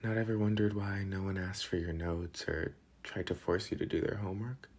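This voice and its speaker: A young man playing a protective, teasing but soft-hearted school delinquent in an intimate, casual ASMR boyfriend roleplay. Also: low voice, level tone, moderate pace, breathy edge. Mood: defensive, protective.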